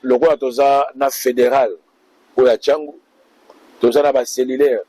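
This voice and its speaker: A man's voice: medium pitch, flat delivery, moderate pace, warm tone, no room sound, rough recording. A man answering interview questions as a party official, speaking in a speechlike way to the public.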